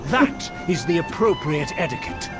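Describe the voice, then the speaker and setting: A man's voice: fairly high pitch, sing-song, unhurried. An actor playing a haughty, disdainful nobleman in a video game, with a formal, condescending and theatrical manner. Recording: music behind the voice.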